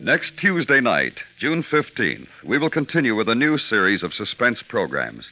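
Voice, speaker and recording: A man announcing a suspense radio show in a formal, deliberate, dramatic scripted style. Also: on the low side, huge pitch swings. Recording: dull vintage radio, loud music.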